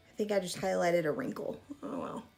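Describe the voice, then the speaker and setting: Chatty woman in her thirties, gravelly. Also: lowish voice, a little fast, darkish tone, soft background music. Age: 30s. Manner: chatty and self-deprecating, with frequent asides. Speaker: makeup vlogger. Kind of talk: casual makeup tutorial chat. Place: small room in front of a gray curtain. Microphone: fixed camera at arm's length.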